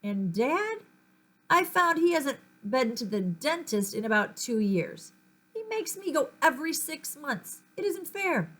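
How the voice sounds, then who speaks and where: A woman reading a children's story aloud in an expressive, dramatic storytelling manner. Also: sits high, a little slow. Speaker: audiobook-style reader.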